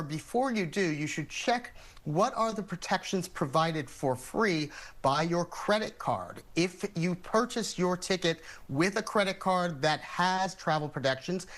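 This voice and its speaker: An American man speaking as an expert guest in a TV news interview, explaining things in a measured, methodical way. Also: on the high side, a bit bright.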